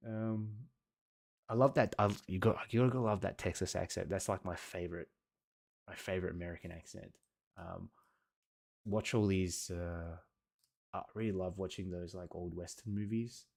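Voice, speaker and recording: A man teaching watercolour on a live stream, chatting casually with viewers in an encouraging way and pausing often. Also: sits low, steady tone, gravelly, darkish tone. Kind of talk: casual livestream chat reading and answering viewer comments.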